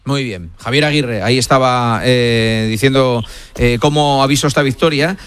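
A man's voice: mid-range voice, normal speed, a bit bright, smooth, no room sound. A man hosting a casual sports radio show, chatting with colleagues.

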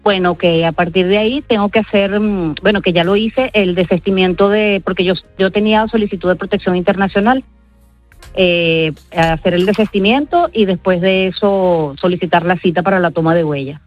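A woman's voice: mid-range voice, no echo, faint music behind. Venezuelan woman, interview guest, hesitant and informal, dry but slightly muffled broadcast sound. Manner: hesitant, halting, repeats words. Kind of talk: informal spoken interview answers. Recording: dull, band-limited radio-like sound.

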